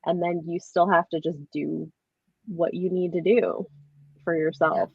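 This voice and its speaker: Low-pitched woman, reflective, dull lo-fi audio. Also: fairly even intonation, a little slow, gravelly, slightly rough. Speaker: book discussion livestream host. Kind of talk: casual group book discussion. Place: online group video call.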